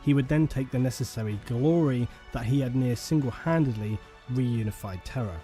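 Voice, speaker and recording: Male narrator for a lore documentary: dark, relaxed voice, steady solemn delivery, faint music bed. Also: mid-range voice. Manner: measured, solemn storytelling.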